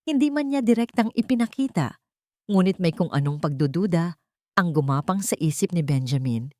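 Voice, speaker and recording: A woman's voice: on the low side, a little mellow, dry recording, studio quality. A woman narrating a scripted dramatic romance story.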